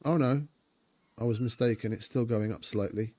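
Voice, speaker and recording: A man's voice: mid-range voice, moderate pace, warm tone, no room sound. A man giving casual commentary while streaming a game, thinking aloud and talking to his chat. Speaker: gaming streamer.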